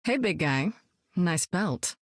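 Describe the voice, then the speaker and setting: A seasoned woman superspy with a cool, wry, self-assured delivery. Her voice sits a little low and is recorded close and dry, with no room around it. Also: expressive, takes it slowly, no room sound. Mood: warm, amused. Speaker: game hero. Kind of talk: video game voice lines.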